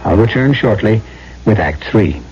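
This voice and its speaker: A man's voice: fairly low pitch, lively intonation, unhurried. A man hosting and narrating an old-time radio drama in a portentous, literary, scripted manner. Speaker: host and narrator. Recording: muffled vintage radio broadcast. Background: music.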